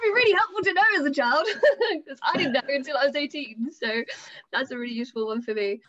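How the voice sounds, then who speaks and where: A woman's voice: high voice, fast, a bit bright, dull audio. A woman in her late twenties, chatting casually on a group video call. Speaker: panel participant. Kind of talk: casual chat.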